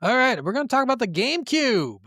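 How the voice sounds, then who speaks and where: An American man, likely in his thirties, co-hosting a casual podcast and telling stories in an animated, sweary way. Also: very high voice, quick pace, dry recording, clean studio sound. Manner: animated storytelling with frequent swearing. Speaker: podcast co-host. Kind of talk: casual chat.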